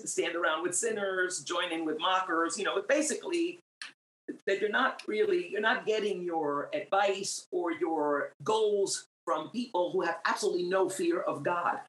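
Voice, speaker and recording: A middle-aged woman from New York with Puerto Rican roots, a singer giving her faith testimony as a guest, earnest and growing emphatic. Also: lowish voice. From Puerto Rican, raised in New York. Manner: earnest, grows emphatic and animated. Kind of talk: faith testimony in a podcast interview. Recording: roomy, echoey sound.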